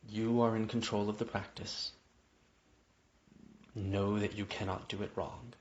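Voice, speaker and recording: A man guiding a yoga nidra meditation, speaking in gentle, invitational phrases with long pauses. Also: lowish voice, level tone, a little hiss or hum. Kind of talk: guided meditation narration. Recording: dull, muffled lo-fi audio.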